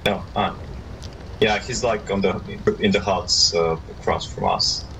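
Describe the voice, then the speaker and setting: Tired deep-voiced man gaming with a friend. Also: a little slow, vocal fry, fairly bright tone, a little hiss or hum, rough recording. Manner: laid-back, tired, short replies. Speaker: co-op gaming partner. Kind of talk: casual voice chat while gaming.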